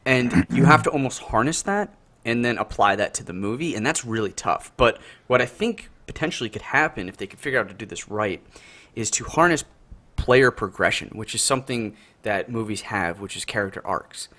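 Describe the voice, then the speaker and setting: A man's voice: on the low side, expressive, a little fast, sharp tone. A North American man in casual analytical podcast discussion, explaining his ideas in a thoughtful way. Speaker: podcast co-host.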